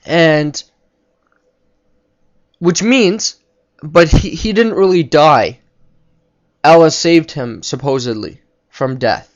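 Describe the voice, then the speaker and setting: A man with a fairly high voice delivers off-the-cuff religious commentary. He lectures and argues his points, recorded with muffled, lo-fi sound. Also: animated, slow pace, pauses often. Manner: didactic, argumentative. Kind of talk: unscripted spoken commentary on religion.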